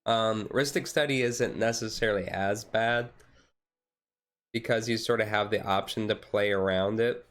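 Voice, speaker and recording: A man's voice: lowish voice, steady tone, normal speed, a bit bright. A man streaming a casual, laid-back ASMR chat in a rambling way, with music behind him.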